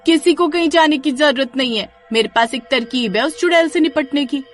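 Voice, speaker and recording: Woman playing a high, plucky village wife. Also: a little fast, faint music behind, close to an everyday voice. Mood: urgent.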